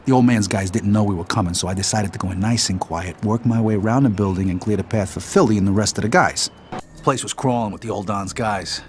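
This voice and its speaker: A man's voice: fairly low pitch, fast, slight background noise, natural voice. An actor playing a world-weary, wisecracking American mob enforcer, telling his story in a casual, tough, profane hardboiled narration. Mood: wry, matter-of-fact.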